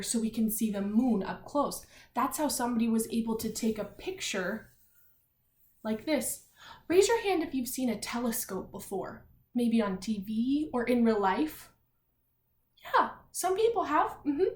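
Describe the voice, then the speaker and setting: A woman teacher reading aloud to young children, explaining things simply and thinking aloud as she goes. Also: on the high side. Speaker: primary school teacher. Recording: echoey room.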